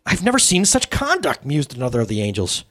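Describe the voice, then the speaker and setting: A man voicing a group of awestruck, anxious male angels, in a high, breathless, excited way. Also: quick pace. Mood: awed.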